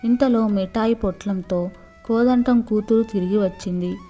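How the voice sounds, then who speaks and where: A woman's voice: medium pitch, moderate pace, mellow, faint music behind. A woman storyteller reading a scripted moral story for children.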